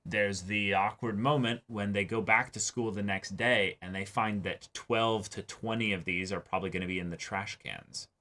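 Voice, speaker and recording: A young adult man in a casual discussion as a reaction show host, reasoning things out in a measured, analytical way. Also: fairly low pitch, fairly even intonation, pushed and bright. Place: small indoor room, seated before a backdrop.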